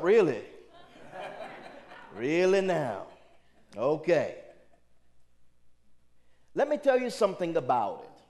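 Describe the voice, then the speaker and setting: Animated, high-pitched man with Liberian accent. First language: Liberian dialect. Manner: emphatic, rhetorical, questioning the audience. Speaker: spiritual speaker. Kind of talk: motivational sermon to a live audience.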